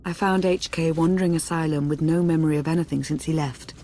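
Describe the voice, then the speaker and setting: An actress playing a cool, serious operative in a video game, businesslike and wary. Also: female voice, low voice, fairly even intonation, quick pace, dark tone, lightly acted.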